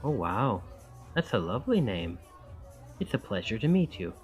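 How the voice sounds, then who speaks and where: Charming, expressive young college guy, played by a man. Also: fairly low pitch, normal speed, soft background music. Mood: friendly. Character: charming young male college student, friendly, self-deprecating, philosophical. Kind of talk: intimate ASMR roleplay acting.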